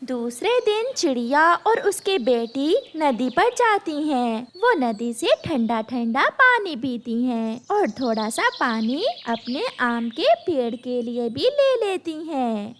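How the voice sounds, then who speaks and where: Woman narrator for a kids' cartoon, slow, high, sing-song reading. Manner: slow, expressive. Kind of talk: scripted storybook narration.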